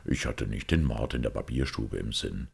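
A man voicing a grave, thoughtful priest detective who explains things in a measured, sombre way in an audiobook. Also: extremely low pitch, gravelly, breathy edge, heavily acted. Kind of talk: audiobook character acting.

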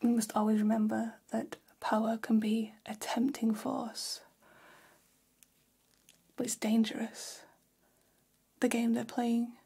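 A woman playing a gossipy, flattering vampire hair stylist in a whispered ASMR role-play, speaking in a soft, breathy, conspiratorial hush. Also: a little high, exaggerated voice. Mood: conspiratorial, intrigued. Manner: slow, hushed, conspiratorial, indulgent. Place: small decorated indoor room.

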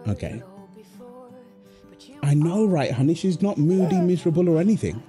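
Mellow, lively young husband and doting dad acted by a man. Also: a little high, normal speed, faint music behind, natural voice. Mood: playful, teasing. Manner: soothing, teasing, affectionate. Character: tender, playful young husband and doting father. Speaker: voice actor of a roleplay audio. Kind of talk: ASMR boyfriend roleplay, acted one-sided dialogue.

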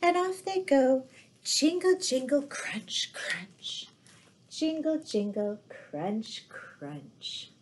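A middle-aged woman hosting a storytime for young children, reading aloud in an animated, drawn-out way. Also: sits high, a little hiss or hum. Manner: animated, drawn-out delivery with sound words and gasps. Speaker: children's storytime host dressed as Mrs. Claus. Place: living room indoors by a fireplace. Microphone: webcam at arm's length or further.

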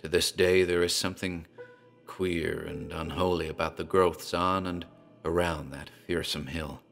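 A man narrating a horror story in a dark, deliberate, theatrical way. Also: deep voice, little pitch movement, vocal fry, soft background music.

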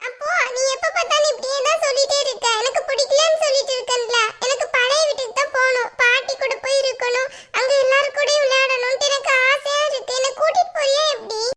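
Squeaky, stubborn, whiny little girl. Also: fairly even intonation, fast talker, very noisy. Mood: whiny, sulky. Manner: insistent, whining, repetitive.

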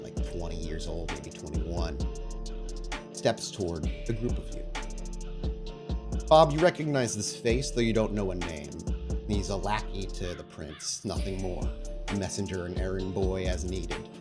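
A man narrating a tabletop horror game as storyteller, in dramatic, deliberate phrases. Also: deep voice.

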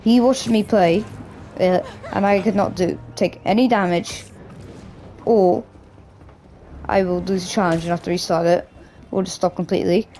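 A man with a hoarse, croaky voice from a cough, casually rambling through gameplay commentary as a gaming YouTuber. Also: high voice, normal speed, warm tone, slight background noise. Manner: rambling, loose, with many restarts and "like".

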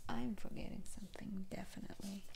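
A woman playing a soothing, faintly sly witch doctor in an ASMR roleplay, speaking in a breathy, halting whisper. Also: medium pitch, steady tone. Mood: reassuring, hushed.

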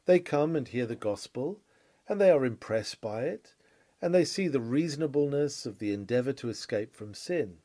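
A man reading an old Victorian sermon aloud in a measured, solemn, rhetorical manner. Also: mid-range voice, expressive, warm tone. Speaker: sermon reader.

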